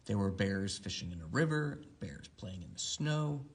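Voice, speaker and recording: A man reading a bedtime story to a child, expressive, with drawn-out emphasis on key words. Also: on the low side, slight background noise.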